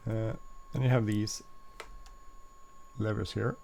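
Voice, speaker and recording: A man's voice: lowish voice, little pitch movement, takes it slowly, creaky, darkish tone, a little hiss or hum. A man who is not a native English speaker, casually walking through a flight simulator, hesitant with many fillers and self-corrections. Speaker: flight sim video host. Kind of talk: casual gameplay walkthrough.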